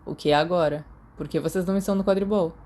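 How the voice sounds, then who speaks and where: A woman's voice: on the low side, fairly even intonation, quick pace, warm tone, close to an everyday voice. A Brazilian woman voicing a curt, weary, sarcastic teenage wizard boy in an audiobook. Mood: wary, exasperated.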